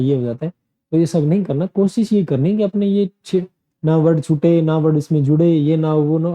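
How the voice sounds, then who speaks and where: A man's voice: on the high side, mellow, poor audio. A man giving a casual spoken coaching lesson, chatty and repeating his points with loose restarts. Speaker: PTE and IELTS coaching teacher. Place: indoor teaching room.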